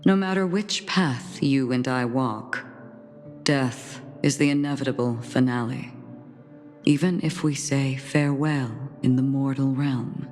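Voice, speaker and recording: Low, unhurried, solemn swordswoman. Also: darkish tone. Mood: grave, calm. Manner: measured, cryptic, philosophical. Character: solemn, composed woman swordswoman with failing memory. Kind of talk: video game voice acting. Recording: long reverb, music behind the voice. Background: game music.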